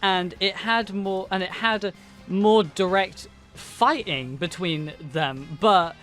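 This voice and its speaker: A man's voice: high voice, slow, a bit bright, faint music behind. A man co-hosting a casual chat show, talking enthusiastically with stumbling restarts. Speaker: podcast co-host.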